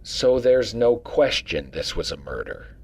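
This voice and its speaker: An actor playing a male starship commander who speaks in measured, matter-of-fact orders with a husky voice. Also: lowish voice, very animated, exaggerated voice. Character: steady male starship commander, acting captain. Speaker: acting captain.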